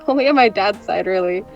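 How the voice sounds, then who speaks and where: A woman's voice: medium pitch, normal speed, dull audio, soft background music, close to an everyday voice. A young woman playing a brash, sarcastic, teasing friend in a scripted romantic comedy audio drama. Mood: sardonic, animated. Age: young adult. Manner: sardonic, teasing.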